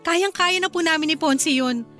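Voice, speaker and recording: A woman's voice: very high-pitched, normal speed, pushed and bright. An actress playing a caring young wife and mother in a radio drama, sweet and polite to her elders. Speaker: wife character. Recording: faint music bed behind.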